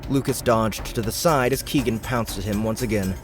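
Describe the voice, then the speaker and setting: A man reads an audiobook in a steady, measured narrating voice. His voice sits low, and clear music plays underneath throughout. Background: background music.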